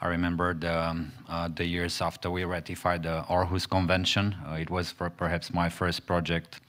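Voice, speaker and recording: A man from Romania, giving halting panel remarks as an activist at a conference, with many pauses and restarts. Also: deep voice, completely flat delivery, a bit bright. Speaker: panel speaker, forest activist. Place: conference hall.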